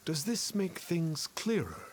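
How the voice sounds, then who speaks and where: Slow, pompous fantasy sage. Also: male voice, fairly high pitch, very animated, a little hiss or hum. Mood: lofty. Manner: pompous, archaic, slow and theatrical. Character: self-styled immortal male sage, lofty, cryptic and pompous. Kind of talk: video game fantasy voice acting. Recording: game music behind.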